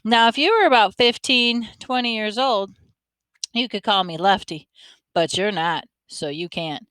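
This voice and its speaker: A chatty grandfatherly man, folksy and fond of teasing, as a woman reads him aloud in a storybook. His voice is smooth and full, and it sounds close and dry with no room around it. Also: mid-range voice, measured pace, fairly bright tone, dry recording, natural voice. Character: friendly teasing older man, grandfather, army veteran.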